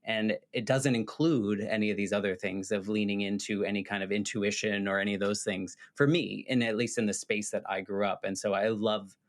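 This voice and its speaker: A man's voice: on the low side, fairly even intonation, a little fast, few pauses. A man from the United States hosting a podcast, talking in an earnest, rambling way with many fillers. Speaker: podcast host. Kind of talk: casual interview conversation.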